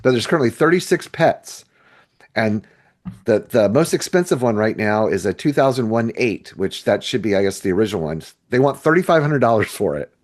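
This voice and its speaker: Middle aged american guy, lowish voice, casual podcast chat, kinda thinking out loud. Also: animated, brisk. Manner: informal, conversational, thinking aloud while browsing listings. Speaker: podcast co-host. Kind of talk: casual discussion.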